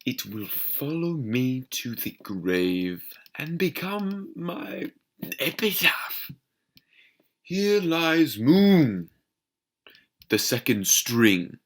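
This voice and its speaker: A man's voice: mid-range voice, very animated, pitched above the performer's own voice, exaggerated voice. A young man playing a frustrated, overshadowed theatre critic in a dramatic stage monologue with a British accent. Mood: bitter, morose. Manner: dramatic, deliberate delivery with emphatic swells. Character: frustrated, overshadowed second-string male theatre critic, ranting bitterly.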